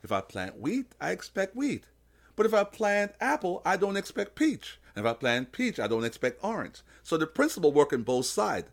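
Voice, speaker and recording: A man giving an emphatic, preacher-like motivational talk on faith as a podcast host. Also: medium pitch. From Jamaica. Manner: emphatic, preacher-like, illustrates with simple analogies.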